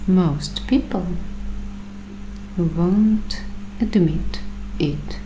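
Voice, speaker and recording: A woman acting as a paranoid doomsday prepper in an ASMR roleplay, speaking extremely slowly in a lowish, hushed voice. She is earnest and deliberate, leaving long pauses, with clear noise behind her. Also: poor audio. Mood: probing, approving. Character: paranoid waitress turned doomsday prepper interviewing bunker roommates. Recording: clearly audible background noise.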